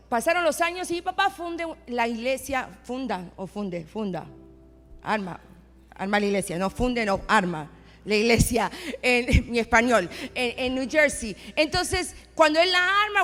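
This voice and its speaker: A high-voiced woman from Argentina with an Argentine accent tells her testimony from a church pulpit. She moves from intimate storytelling to emphatic declarations. Her voice rings out in a hall with long reverb, and soft music plays beneath it. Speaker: pastor's wife preaching. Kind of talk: sermon testimony. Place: church sanctuary.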